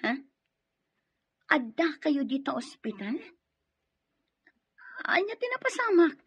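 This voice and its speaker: A woman's voice: sits high, very animated, slow pace, no room sound, highs cut off, exaggerated voice. A woman with an Ilocano Filipino accent acting a worried, caring police office worker in an audio drama. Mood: alarmed.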